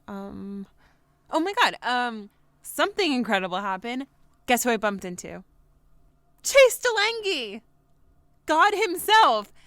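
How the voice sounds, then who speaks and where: A neurotic young woman in her twenties confides in her audio diary for a drama podcast. She rambles frankly and puts herself down, sounding anxious and unguarded in a middle-pitched voice. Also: fairly bright tone, no echo. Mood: anxious, confiding, then giddy. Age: 20s. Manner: rambling, self-deprecating, frank. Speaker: lead actor in an audio drama. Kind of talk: confessional audio diary monologue.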